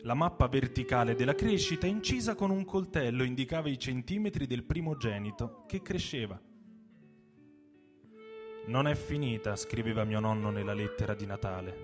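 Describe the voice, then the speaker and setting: A man's voice: medium pitch, lively intonation, moderate pace. Man reading solemnly over guitar. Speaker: reader in a radio reading performance. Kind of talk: dramatic literary reading. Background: plucked guitar music.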